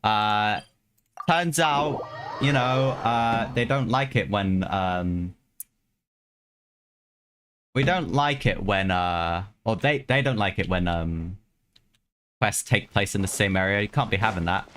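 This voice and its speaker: A man's voice: on the low side, slow pace, long pauses, fairly bright tone. A man giving casual, slangy live gameplay commentary as a streamer, grumbling and talking back to the game. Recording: game music behind the voice.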